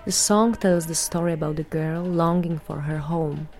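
A woman from Poland with a Polish accent, giving a measured, earnest prepared statement as an artist and vocal performer. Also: sits low, steady tone, a little mellow, faint music behind.

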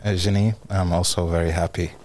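A man from Finland speaking as a panel guest, thoughtful and slow with many pauses. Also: low-pitched, level tone, a little mellow, a little hiss or hum. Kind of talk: conversational panel talk.

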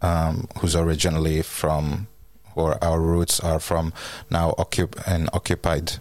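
A young man from Finland speaking as a guest on a panel, halting, with many fillers and restarts. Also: extremely low pitch, completely flat delivery, darkish tone, no echo. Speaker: guest, designer and media artist. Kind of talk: panel discussion.

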